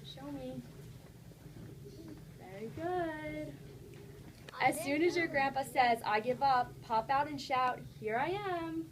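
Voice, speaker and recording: Female primary teacher, high voice, encouraging interactive story reading for kids, recorded in a noisy room. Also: takes it slowly, pauses often. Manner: encouraging, instructive, addresses children directly. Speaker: teacher reading aloud to children. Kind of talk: interactive storybook read-aloud with questions to a class.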